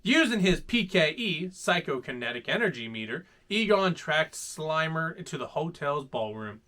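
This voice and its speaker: A man hosting a children's story time, reading aloud in a playful, dramatic, animated way. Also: a little high, moderate pace. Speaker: host reading children's stories.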